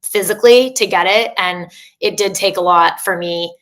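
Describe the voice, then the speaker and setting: A woman's voice: mid-range voice, moderate pace, fairly bright tone, poor audio. A woman in her thirties, a coach talking as a podcast guest, speaking openly in a chatty way with many fillers and restarts. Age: 30s. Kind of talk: candid casual interview.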